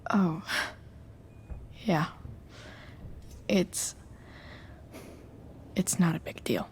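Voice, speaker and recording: A woman playing an awkward, soft-hearted, guarded teenage girl in a video game, speaking in a hesitant, understated way. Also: lowish voice, drawn-out words, a little hiss or hum. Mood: subdued.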